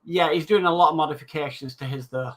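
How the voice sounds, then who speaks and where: Man, livestream co-host, casual chat, reading comments, bright tone. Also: a little high, quick pace. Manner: reads chat comments aloud, then chats loosely.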